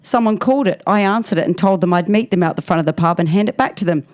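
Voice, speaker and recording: A woman's voice: on the low side, quick pace, darkish tone. A woman with an Australian accent co-hosting a podcast, reading out listener stories in casual comic chat and mockingly acting out the quotes. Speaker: podcast co-host.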